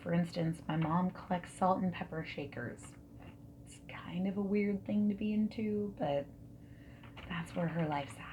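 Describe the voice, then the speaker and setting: A woman speaking softly and breathily in an ASMR roleplay, often slipping into whispers as she asks gentle, reassuring questions like a friendly interviewer. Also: fairly low pitch, normal speed. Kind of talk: soft-spoken ASMR roleplay. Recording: steady noise, keyboard typing and clicks. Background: mechanical keyboard typing and clicks.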